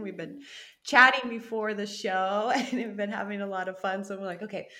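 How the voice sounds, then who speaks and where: A woman's voice: mid-range voice, vocal fry, bright, forceful tone. A woman hosting a podcast interview, upbeat and effusive, laughing easily. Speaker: podcast host.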